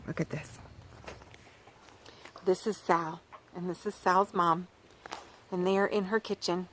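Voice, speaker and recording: A woman's voice: on the low side, unhurried, a little hiss or hum, low-quality recording. Woman reading a kids book outside on the farm, bouncy sing-song voice, keeps asking the kids stuff. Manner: animated and sing-song, asking the listener questions. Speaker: children's author reading aloud. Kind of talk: storytime reading for children. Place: outdoors by a farm garden.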